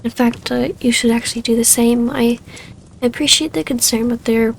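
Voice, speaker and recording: A woman acting a wistful, tender vampire baroness in an ASMR roleplay, speaking softly and haltingly in an intimate delivery. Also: a little high, steady tone, gravelly, slight background noise.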